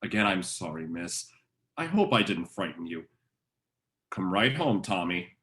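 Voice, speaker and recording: A man's voice: sits low, sing-song, measured pace, fairly bright tone, heavily acted. A man playing a courteous, apologetic stranger posing as a doting father in an old-time radio drama. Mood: falsely polite.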